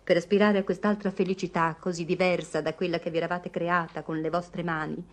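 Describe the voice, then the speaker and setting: An actress playing a sensible, kindly firm younger woman in an Italian radio play, measured and hesitant. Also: on the low side, little pitch movement, lightly acted. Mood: gently firm.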